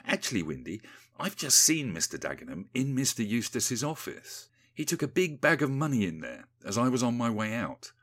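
A man's voice: medium pitch, big pitch swings, normal speed, fairly bright tone, voice pushed up. A man voicing a weary, sighing, gossipy village woman in a satirical storybook parody. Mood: weary resignation.

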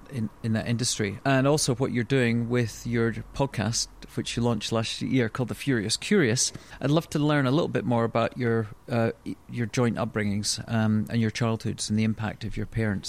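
Gravelly male podcast host. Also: mid-range voice. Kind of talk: interview hosting.

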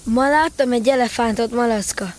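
A man's voice: very high-pitched, heavy background noise, voice pushed up. A man voicing a cheerful, easygoing young boy in a storybook reading, speaking lightly and offhand.

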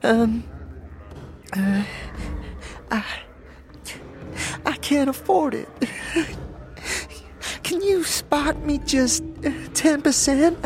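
A woman's voice: high voice, lively intonation, very slow, long pauses, darkish tone, exaggerated voice. A woman playing a frightened, hesitant debtor in an audio drama, stammering and pleading as she speaks. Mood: anxious pleading. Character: nervous, frightened woman deep in tavern debt.

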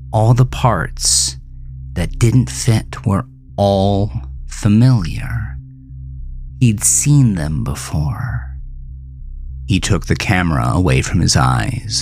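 A man narrating a scripted horror story in a deliberate, dramatic manner over music. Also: low voice, vocal fry. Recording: music behind the voice.

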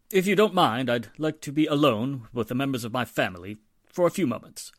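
A man's voice: mid-range voice, animated, normal speed, no room sound, studio quality, close to an everyday voice. A man playing an apologetic older society patriarch in 1940s radio style, formal, courteous and hesitant. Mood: formal, regretful. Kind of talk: 1940s radio drama acting.

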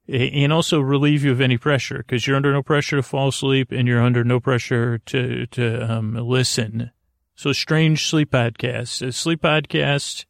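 Creaky-voiced man rambling haltingly. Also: mid-range voice, no room sound, clean studio sound. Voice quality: creaky, gravelly. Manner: halting, stammering, digressive. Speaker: podcast host. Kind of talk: rambling, meandering sleep podcast monologue. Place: closet, close dry recording.

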